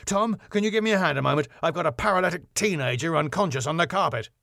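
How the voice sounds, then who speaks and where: A man's voice: fairly high pitch, expressive, quick pace, creaky, sharp tone, no room sound, clean studio sound, pitched above the performer's own voice, exaggerated voice. A man voicing a fussy, pompous club manager with a flustered, indignant and prim way of exclaiming. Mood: exasperated, panicky.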